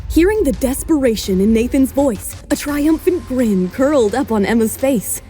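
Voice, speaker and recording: A woman's voice: high voice, lively intonation, normal speed, mellow, soft background music. A woman narrating a scripted romance drama as its storyteller.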